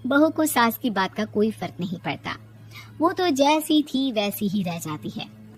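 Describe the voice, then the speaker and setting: High-pitched woman narrating a story. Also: big pitch swings, unhurried, soft background music.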